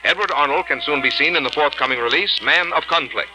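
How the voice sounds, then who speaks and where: An American man working as a radio announcer, reading scripted sponsor messages in 1950s style with a husky voice. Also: a little high, fast, poor audio. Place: radio studio. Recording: old 1950s radio broadcast, music behind.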